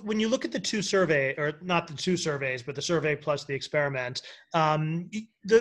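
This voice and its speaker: A man interviewing a guest, asking quick questions that he rephrases and restarts as he goes. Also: fairly high pitch, a bit bright.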